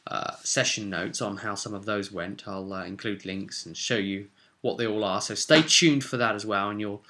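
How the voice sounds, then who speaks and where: Man, British accent, slightly low pitch, bright forceful tone, casual rambling vlog monologue, chatty game master. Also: normal speed. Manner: chatty, thinking aloud.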